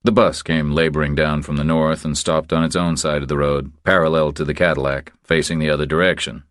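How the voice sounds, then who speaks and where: Very deep-voiced male audiobook narrator. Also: little pitch movement, fairly quick, fairly bright tone, no echo, studio quality. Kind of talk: scripted thriller narration.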